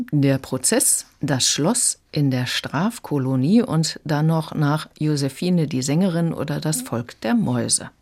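Very low-voiced woman reviewing audiobooks. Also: a little mellow. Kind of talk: radio review conversation.

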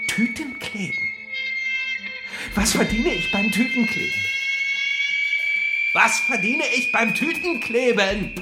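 An obsessive convict, wildly swinging pitch. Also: male voice, heavily acted. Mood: desperate, escalating. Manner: obsessively repeating, swinging from whispers to shouts. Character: embittered, boastful male convict, unravelling in prison. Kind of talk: theatrical radio play monologue. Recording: roomy echo, music behind. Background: music.

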